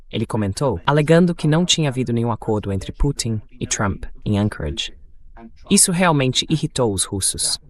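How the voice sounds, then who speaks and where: A synthetic male voice, dubbing a commentator giving political analysis. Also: mid-range voice. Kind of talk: dubbed discussion, political analysis.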